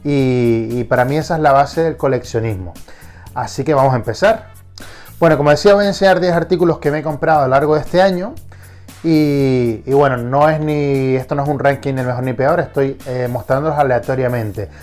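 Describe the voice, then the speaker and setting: A congested man speaks with a nasal, stuffed-up voice at a middling pitch. He hosts his collector vlog with enthusiasm and often stumbles into restarts. Soft music plays faintly behind him. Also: a little fast. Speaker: collector vlogger. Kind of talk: casual vlog.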